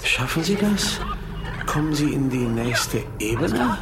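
An actor playing a flippant, breezy game operator in a radio drama. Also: male voice, on the high side, animated, slow pace. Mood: announcing, showy. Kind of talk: German radio drama acting. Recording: loud noisy ambience behind.

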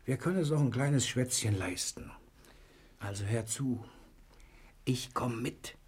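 A cunning male convict in a 1960 radio crime drama. His voice is husky and sits at a medium pitch. He is courteous and crafty. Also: measured pace. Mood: conspiratorial. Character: cunning male prisoner feigning a dazed amnesiac, smooth-talking.

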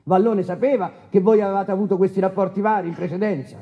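Male as a brusque, sarcastic magistrate, courtroom drama. Slightly high pitch, muffled lo-fi sound. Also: steady tone, fast talker. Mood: sarcastic, stern. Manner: interrogating, brusque, sarcastic, repeats answers back. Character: stern, sardonic male Italian presiding judge. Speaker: actor playing a presiding judge. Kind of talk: staged documentary courtroom drama. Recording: dull, lo-fi radio theatre recording.

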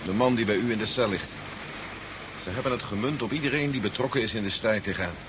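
Guy acting as army general in old sci-fi radio play, low voice, brisk n in charge, muffled tape. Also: lightly acted. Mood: grave, businesslike. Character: brisk commanding male army general, steady and fatherly. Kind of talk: 1970s Dutch science fiction radio drama acting. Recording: dull, noisy 1970s radio recording.

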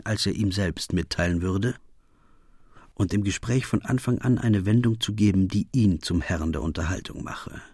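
An actor playing a detective's loyal, puzzled companion, narrating the case in a measured, literary radio play style. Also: male voice, lowish voice, darkish tone, husky, no room sound, studio quality.

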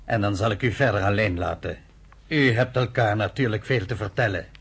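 An actor playing an emotional, proud Cypriot shipowner in a radio play, with a husky, theatrical delivery. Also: male voice, fairly low pitch, fairly quick. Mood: hospitable. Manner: theatrical, indignant, swings between soft and loud.

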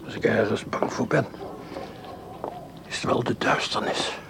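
A man's voice: fairly low pitch, steady tone, unhurried, noisy background, lightly acted. A man acting in a radio play as a scheming, cynical educated doctor who muses darkly to himself. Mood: dark, haunted.

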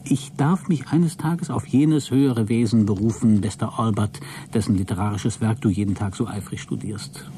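A man's voice: lowish voice, normal speed, hardly any pauses, dark tone, slight background noise, natural voice. A man playing a mocking, scheming astronaut in a satirical science fiction radio drama. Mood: secretive, hushed. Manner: sardonic, needling. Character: mocking, scheming male astronaut, resentful of his crewmate.

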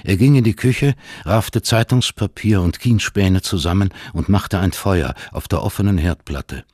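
A man with a husky voice reading scripted literary narration for a radio play. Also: deep voice, a little slow, a little mellow, no echo, studio quality.

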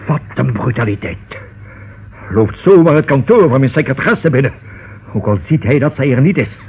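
Nervous forgetful solicitor, hesitant, very husky, lively intonation, old band-limited radio drama. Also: male voice, medium pitch, normal speed, narrow, tinny audio. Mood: indignant. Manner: hesitant, fussy, exclamatory. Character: fussy, nervous, forgetful male solicitor in his office. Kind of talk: radio play acting.

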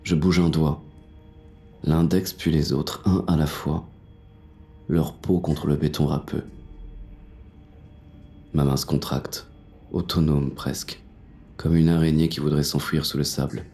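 A man narrating audio fiction as a battered, exhausted, haunted male survivor, in a very deep, sometimes breathy voice with slow, halting, dramatic fragments. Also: little pitch movement, dark tone, faint music behind. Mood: anxious, dazed.

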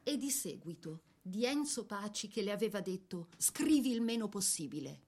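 A woman with a mid-pitched voice narrates a literary life story for radio. Her delivery is scripted and measured, shaped like careful written prose. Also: very animated, a little mellow, husky.

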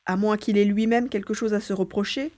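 A woman's voice: medium pitch, fairly even intonation, a little fast, a little mellow, lightly acted. Woman playing a determined young boy adventurer, roomy echo. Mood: worried, puzzled. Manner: thinks aloud, narrating own actions. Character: determined young boy hero on a secret rescue mission. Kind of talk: audio drama acting.

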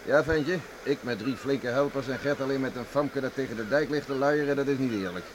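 A man's voice: mid-range voice, fairly quick, close to an everyday voice. Casting: man as folksy coastal farmer dad, cheerful and reassuring, radio play, faint background noise. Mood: brisk, fatherly. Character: cheerful, coaxing farmer and father on an island coast. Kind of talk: radio drama acting. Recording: noisy old radio play recording.

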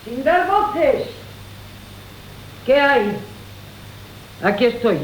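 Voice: high voice, very animated, extremely slow, exaggerated voice, poor audio. A performer playing a sly, mock-deferential, gossipy servant in a classical radio comedy. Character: sly, gossipy, opportunistic household servant Álvarez. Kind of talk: Spanish classical comic radio theatre acting. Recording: hissy 1980 radio broadcast.

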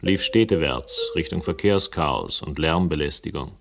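A man's voice: rumbling low, lively intonation, normal speed, creaky, over music, heavily acted. An actor playing a wry, urbane investigator who narrates his case with literate irony in a German radio crime drama. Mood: dry, wry. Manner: ironic, literate, ornate wording.

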